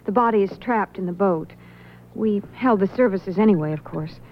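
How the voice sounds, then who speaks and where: An actress playing an earnest, wistful widow with a hesitant, emotive manner in a 1970s radio drama. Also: female voice, mid-range voice, moderate pace, slight background noise, lightly acted. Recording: slightly muffled old radio recording.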